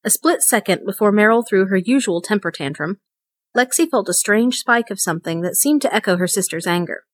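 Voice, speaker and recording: A woman's voice: medium pitch, lively intonation, a little fast, no echo. Woman reading a short story, dry close sound. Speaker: story reader. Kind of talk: scripted short story narration.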